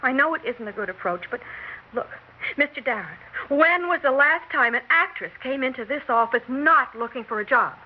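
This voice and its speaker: A woman's voice: sits high, animated, moderate pace. A young woman playing a persistent, talkative aspiring actress, earnest and flustered, in 1960s radio drama style. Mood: desperate. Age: young adult. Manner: earnest, flustered, stammering plea. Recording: dull vintage radio recording with hiss.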